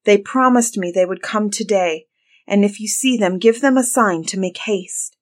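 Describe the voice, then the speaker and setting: A woman's voice: medium pitch, moderate pace, lightly acted. A woman voicing a frightened, desperate young wife in a fairy tale, pleading and calling plaintively. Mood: urgent, afraid. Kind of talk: dramatized fairy-tale storybook reading.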